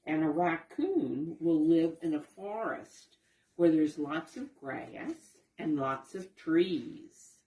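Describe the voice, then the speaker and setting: Slow, expressive woman storyteller for children. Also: deep voice, roomy sound, low-quality recording. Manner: simple, patient explaining, with questions to children. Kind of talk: children's read-aloud lesson, addressing young listeners.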